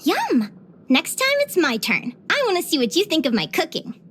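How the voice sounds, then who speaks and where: A young female adventurer from a fantasy video game. Her voice sits very high and girlish. She speaks with earnest, expressive wonder, like a cheerful naturalist who is curious about the whole world. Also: normal speed, a little hiss or hum, exaggerated voice. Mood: bright, sweet. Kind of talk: video game character voice lines.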